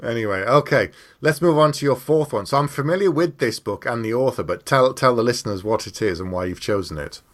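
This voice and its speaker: A man's voice: medium pitch, animated, a little fast, hardly any pauses, a bit bright. A man hosting a podcast in a casual, conversational interview style. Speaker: podcast host.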